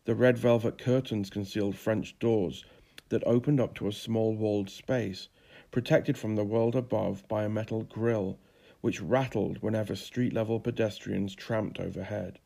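Guy narrating an audiobook story, lowish voice with lots of fry. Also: fairly even intonation, normal speed, dark tone. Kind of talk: scripted literary story narration.

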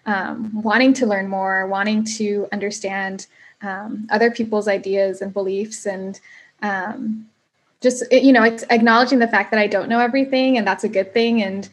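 Soft-spoken young woman, hesitant. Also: mid-range voice, fairly even intonation, moderate pace. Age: young adult. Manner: soft-spoken, quiet, many ums and hesitations. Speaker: restorative coach, guest. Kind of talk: conversational interview answer.

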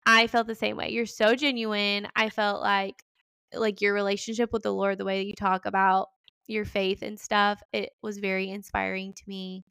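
A woman's voice: medium pitch, little pitch movement, normal speed, gravelly, smooth, dry recording. A woman in her twenties, co-hosting a podcast as a casual, earnest chat with a friend, full of "like" fillers. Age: 20s. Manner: warm, earnest, lots of "like" fillers. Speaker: podcast co-host, teacher.

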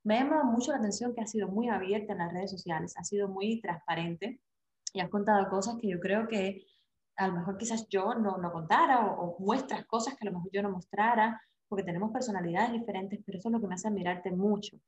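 A woman's voice: mid-range voice, fairly quick. A woman hosting an interview, asking questions in a casual, admiring way.

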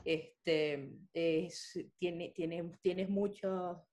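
A woman's voice: on the low side, extremely slow. A young adult woman telling stories in a casual chat, full of fillers and restarts. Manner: animated storytelling, many fillers.

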